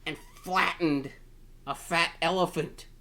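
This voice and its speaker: A man's voice: a little high, fairly even intonation, takes it slowly, bright, forceful tone. A man reading a children's picture book aloud in a playful, exclamatory manner with dramatic emphasis. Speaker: storytime reader.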